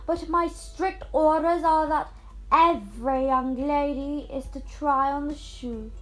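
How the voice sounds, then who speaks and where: A woman's voice: high voice, slow pace, faint music behind, low-quality recording. A female reader voicing a dutiful male royal messenger in a storybook, proclaiming politely and firmly. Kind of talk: storybook character voice.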